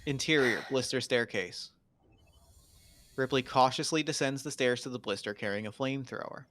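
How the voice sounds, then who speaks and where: Bright-voiced man reading screenplay directions. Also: mid-range voice, normal speed. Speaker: stage directions reader. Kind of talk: dramatic table read narration of screenplay directions.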